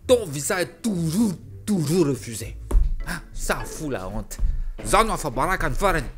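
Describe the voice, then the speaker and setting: A man's voice: on the high side, very animated, moderate pace, music in the background. An actor playing an exasperated middle-aged uncle on the phone, stammering and scolding his nephew.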